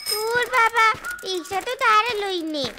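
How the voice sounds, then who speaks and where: A woman voicing a sassy, demanding young female fox in a cartoon, with a squeaky voice and a bossy, theatrical manner. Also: normal speed, very noisy. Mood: exasperated. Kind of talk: cartoon voice acting.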